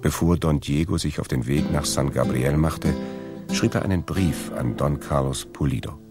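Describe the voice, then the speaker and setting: A man's voice: very deep voice, normal speed. A man narrating a radio drama in a scripted storytelling style. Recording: loud plucked guitar music behind. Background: guitar music.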